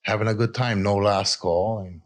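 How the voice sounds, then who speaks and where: A man from Israel telling his own story candidly as an interview guest, halting and reflective, often adding "you know". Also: fairly low pitch, fairly even intonation. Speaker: addiction recovery coach, interview guest.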